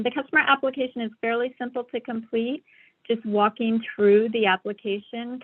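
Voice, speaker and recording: A woman giving a slide presentation on a conference call over a thin, tinny phone line. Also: medium pitch. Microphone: phone or online call.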